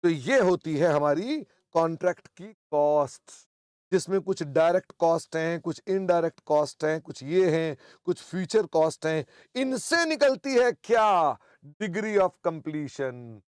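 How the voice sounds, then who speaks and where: Older guy teacher, hoarse throat, keeps clearing it, chatty and teasing, high-ish voice. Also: expressive, a little slow, a little mellow, no echo, studio quality. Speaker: accounting teacher. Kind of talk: online class lecture.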